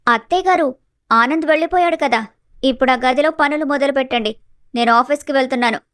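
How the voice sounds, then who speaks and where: Woman as a sly young daughter-in-law, high-pitched, mock-sweet, solicitous, bossy, animated family story, dry recording. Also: quick pace, dry recording.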